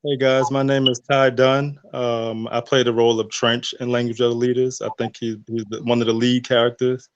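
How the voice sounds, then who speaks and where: A man's voice: mid-range voice, flat delivery, moderate pace, hardly any pauses, dry recording, highs cut off. A man chatting casually as an interview guest. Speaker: guest, voice actor.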